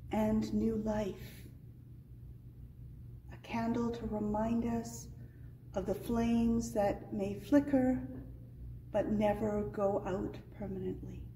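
A young woman reads a liturgy slowly and deliberately in a very dark, mellow voice. She is heard from a distance in an echoey living room, with faint hiss behind. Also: on the high side. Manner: slow, deliberate. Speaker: candle lighter. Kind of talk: liturgical reading. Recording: roomy, distant sound with faint noise.

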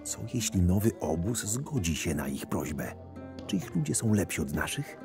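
A man playing a shrewd, sardonic right-hand man to a camp boss, narrating a fantasy audio drama in a measured way. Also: sits low, animated. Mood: hushed, persuasive. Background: music.

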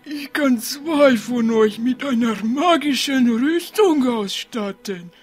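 Woman as eccentric wizard, high pitch, boastful, insistent, fantasy audio drama, music behind. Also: slow pace, darkish tone. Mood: earnest.

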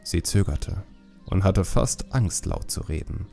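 A man narrating an audiobook story in a measured, calm, solemn way. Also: low-pitched, gravelly, soft background music.

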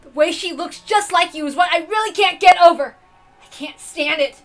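A woman's voice: extremely high pitch, normal speed, faint music behind, pitched above the performer's own voice. A woman voicing an anguished, brooding young male game master in a dramatic visual novel reading, earnest and bitter. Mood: anguished, angry.

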